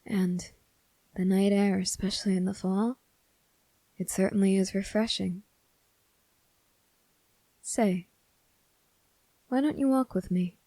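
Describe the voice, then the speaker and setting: A woman playing a kind, earnest young male cadet in an ASMR roleplay, speaking softly and politely to the listener with gentle reassurance. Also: mid-range voice, steady tone, slow pace, pauses often, dry recording, low-quality recording.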